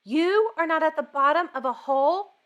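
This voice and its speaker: A woman's voice: high-pitched, animated, moderate pace, a bit bright, pitched above the performer's own voice. A woman voicing an anxious, devoted male toad in a storybook, speaking with dramatic emphasis. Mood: astonished. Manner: dramatic, emphatic worrying and questioning.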